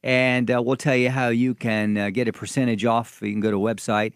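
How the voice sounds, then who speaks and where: A male radio host with an average-pitched voice, recorded very dry. He talks in an upbeat, exclamatory style, chatting easily as he runs the show. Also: level tone, fairly quick, clean tone, dry recording. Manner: enthusiastic, exclamatory. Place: radio studio.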